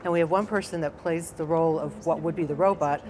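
A woman researcher explaining her work in an interview, measured and explanatory. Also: sits low, fairly even intonation, crowd noise.

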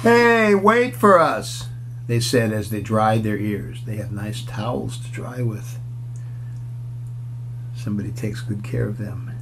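A man's voice: on the low side, little pitch movement, a little slow, long pauses. A man in his sixties reading a children's storybook aloud, adding simple, casual explanatory asides as if to young children. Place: small furnished room indoors. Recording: clear background noise.

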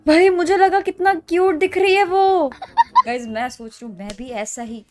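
A woman's voice: very high voice, normal speed, loud music behind the voice. A woman in her twenties with an Indian accent, giving casual, playful and exclamatory commentary as a reaction video host. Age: 20s. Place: small bedroom.

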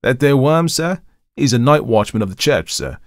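A man's voice: mid-range voice, lively intonation, normal speed, no room sound, studio quality, pitched above the performer's own voice, natural voice. A man voicing a young Cockney manservant and ex-soldier, deferential but indignant, in a colloquial storybook reading. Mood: indignant, gossipy. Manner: colloquial, deferential, calls master sir.

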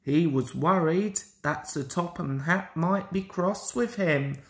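A woman reading a children's story aloud in an expressive, deliberate way. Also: fairly low pitch. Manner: slow, deliberate, animated delivery. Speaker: storybook reader.

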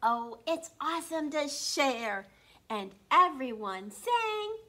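A woman in her sixties with a slightly froggy throat, hosting an interactive read-aloud for young children in an animated, encouraging way. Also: sits high, slow. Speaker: children's storytime host at a library. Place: small indoor room. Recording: constant room noise.

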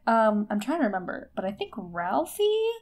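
A woman's voice: medium pitch, big pitch swings, normal speed, a little mellow. A woman co-hosting a podcast in casual chat, swearing, mocking herself and often breaking into laughter. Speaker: podcast co-host.

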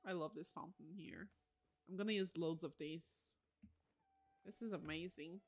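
A woman chatting casually on a game livestream, excitable and gushing, often breaking into exclamations. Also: fairly low pitch, a little slow, soft background music. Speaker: live streamer.

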